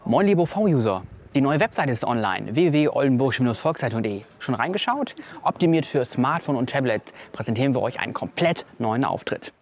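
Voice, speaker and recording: A man's voice: medium pitch, lively intonation, brisk. Young man with a scripted promo delivery. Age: young adult. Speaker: presenter.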